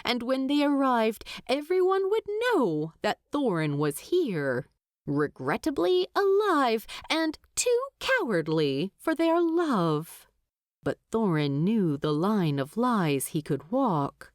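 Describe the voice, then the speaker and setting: A woman narrates fiction at a slow, deliberate pace. Her medium-pitched voice rises and falls expressively, and the recording is dry with no room sound. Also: big pitch swings, dry recording. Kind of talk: expressive scripted story narration.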